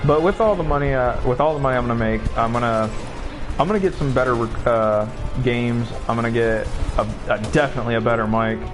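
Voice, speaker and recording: Laid-back 19-year-old guy, swearing freely. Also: fast talker. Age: 19, late teens. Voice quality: deeper voice than most his age. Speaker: streamer playing a video game. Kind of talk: casual rambling chat over gameplay. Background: game music and sounds.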